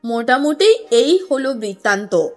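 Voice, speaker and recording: A woman voicing an earnest, courteous businessman in an audio story, speaking in a formal, measured, explanatory way. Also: a little high, very animated, voice pushed down. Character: earnest, courteous businessman recounting his family history. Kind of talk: audio story character reading. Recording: music under the voice.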